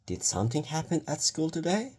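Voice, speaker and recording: A man voicing a gentle, reassuring mother of a young girl in a children's storybook. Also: a little high, very animated, fairly quick, husky, pitched above the performer's own voice. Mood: concerned.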